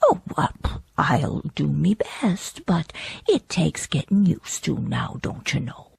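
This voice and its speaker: Breathy, husky Irish housekeeper. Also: female voice, deep voice, big pitch swings, slow pace, no echo, full character voice. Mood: grudging. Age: middle-aged. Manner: stubborn, scolding, folksy phrasing. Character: hefty, middle-aged, bossy Irish housekeeper woman. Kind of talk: audiobook character acting.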